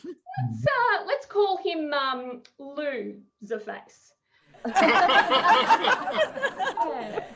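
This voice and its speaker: A thirty-year-old woman with an Australian accent performing wry, expressive stand-up over a headset on a video call, in muffled, noisy audio. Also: high voice, drawn-out words, pauses often. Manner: expressive, wry, chuckling between phrases. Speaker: stand-up comedian. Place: small room at home.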